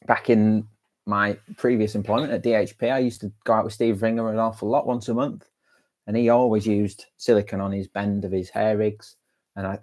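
Chatty young man, low voice. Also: darkish tone. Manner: chatty, rambling, many fillers and restarts. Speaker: angling channel host. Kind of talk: live-stream chat reading viewer comments. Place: small indoor room with a branded backdrop. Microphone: wired earphone headset mic.

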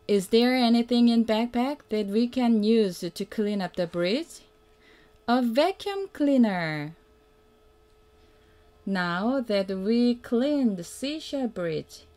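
A woman reading a children's picture book aloud as a mother would, in a simple, deliberate way that invites the young listener to join in. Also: on the high side, warm tone. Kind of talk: read-aloud children's storytelling.

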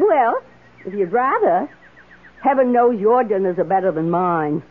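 An actress in a radio drama playing a wistful, lonely, devoted woman with a hesitant, emotive, drawn-out delivery. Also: medium pitch, animated. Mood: friendly. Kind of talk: 1970s radio drama acting. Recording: tinny, hissy old radio broadcast.

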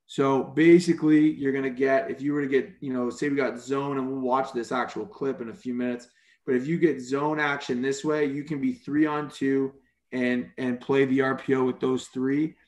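A man's voice: mid-range voice, fairly even intonation, normal speed, darkish tone, roomy sound. A man from Canada presenting a football coaching clinic over a video call, explaining defensive structures in a casual way with many fillers. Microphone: video call microphone.

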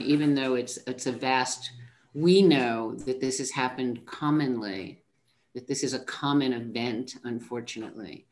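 A participant in an online art discussion, speaking reflectively with pauses over a video call. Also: mid-range voice. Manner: reflective, halting.

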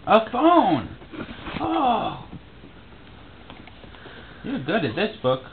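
A man talking casually and playfully to a baby at home, encouraging and gently teasing. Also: high-pitched, wildly swooping pitch, very slow. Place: carpeted room at home. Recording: noisy, tinny home-video audio.

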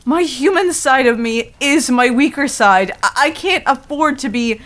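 Casting: a woman as a proud, defensive werewolf girl, highish voice, slow and stammering, for ASMR roleplay. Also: fairly bright tone. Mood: flustered, upset. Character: proud, flustered young female tsundere werewolf.

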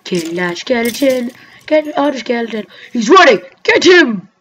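A woman giving rambling, excitable let's-play commentary over her gameplay, talking straight to her viewers. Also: a little high, moderate pace, a little hiss or hum. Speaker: gaming commentator. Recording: dull lo-fi mic with faint music.

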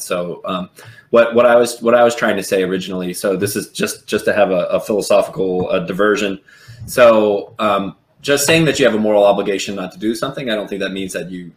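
A man on a panel video call, thinking aloud philosophically with many qualifications. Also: sits low. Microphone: video call from a room. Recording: echoey room on video call.

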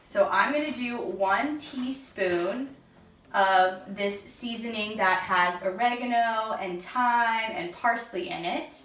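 Slow, bright-voiced woman teaching cooking. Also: mid-range voice, roomy sound. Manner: friendly, step-by-step instructing. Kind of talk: kids' cooking lesson. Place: home kitchen, indoors.

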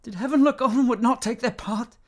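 A man's voice: very high voice, brisk. An actor playing a proud, passionate nobleman in a declamatory classical radio drama style. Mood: stricken grief. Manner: declamatory, impassioned delivery. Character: Macduff, proud Scottish nobleman stricken by grief and vengeance.

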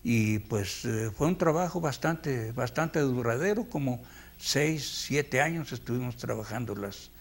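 Old man, Mexican accent, medium pitch, halting, interview recollections, indoors. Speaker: veteran animator. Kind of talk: reminiscing interview. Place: indoor room, close interview shot.

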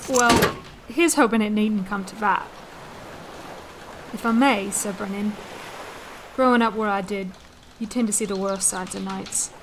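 A woman's voice: medium pitch, steady tone, measured pace, long pauses, background noise, close to an everyday voice. Woman playing blunt, salty commoner woman. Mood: sincere. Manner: plain-spoken, salty, sincere. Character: blunt, foul-mouthed young commoner woman, warm-hearted. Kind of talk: fantasy audio drama acting.

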